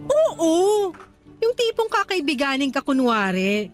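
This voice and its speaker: An actress playing a talkative, gossipy young office worker in a radio drama, friendly and confiding. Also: female voice, high voice, sing-song, a little slow, faint music behind.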